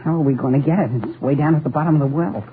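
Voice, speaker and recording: A man's voice: on the high side, fairly even intonation, racing, lightly acted. A man playing an anxious, scheming adolescent boy in American 1940s-style radio comedy. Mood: worried. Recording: noisy, narrow-band old radio recording.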